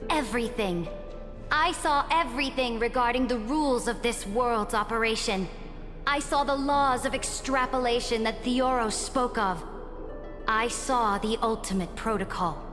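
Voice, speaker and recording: An actress playing an imperious, ambitious emperor in a video game, proud and calculating, with a regal, commanding delivery. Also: female voice, on the high side, a little slow, a bit bright, long reverb tail, faint music behind. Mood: proud, resolute. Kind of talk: scripted video game voice acting.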